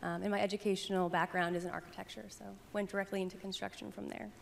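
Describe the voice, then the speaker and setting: A woman's voice: medium pitch, little pitch movement, quick pace, vocal fry. A woman in her twenties speaking earnestly and thoughtfully on a conference panel. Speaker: panelist, adoption specialist. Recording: long hall reverb through PA, faint noise.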